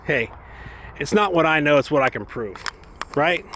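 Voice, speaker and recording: A man's voice: on the high side, lively intonation, normal speed, a bit bright. A man telling a story in a casual, rambling, conversational way, as a vlogger out on a walk. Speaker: vlogger, former online knife maker. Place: outdoors, walking dogs in an urban area. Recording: outdoor ambience and traffic noise. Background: faint outdoor and vehicle noise.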